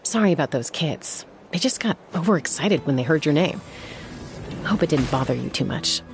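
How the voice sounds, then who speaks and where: A woman playing a composed young warrior and protective peacemaker in a video game, with a calm, measured, gently firm manner. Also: low voice, creaky, faint music behind. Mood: relaxed, reflective.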